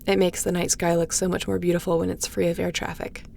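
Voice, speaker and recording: A woman's voice: fairly low pitch, little pitch movement, quick pace, vocal fry, dark tone, slight background noise. A woman playing a guarded, wry starship captain and ace pilot in an intimate audio roleplay, with a sardonic, conversational way of talking. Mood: calm, explaining. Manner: sardonic, conversational, sighing and teasing.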